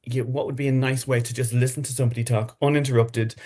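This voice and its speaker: A man's voice: mid-range voice, level tone, warm tone. Rambling, enthusiastic man chatting. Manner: rambling, halting restarts, enthusiastic. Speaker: podcast guest, horror fiction writer. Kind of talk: casual interview chat.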